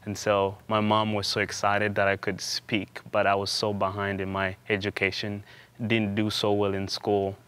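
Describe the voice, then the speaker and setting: A young man from Uganda, a student guest in an interview, telling his story in a halting way with frequent restarts and repeated words. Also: on the low side, completely flat delivery, fairly bright tone, clean tone. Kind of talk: conversational interview.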